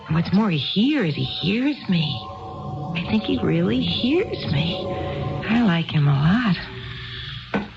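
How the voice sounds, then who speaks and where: A woman's voice: lowish voice, big pitch swings, takes it slowly, vocal fry, pauses often, music in the background, exaggerated voice. A dull, muffled seventies radio drama recording of a neglected housewife. She is eager and apologetic, chattering on in a hesitant, rambling way and often repeating herself. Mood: tipsy, confiding. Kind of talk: 1970s radio drama acting.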